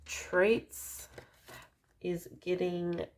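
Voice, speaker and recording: An Australian woman with a slightly croaky, dry voice, casually narrating as she counts out cash in an unhurried way. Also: on the low side, level tone, a little hiss or hum. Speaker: budgeting vlogger.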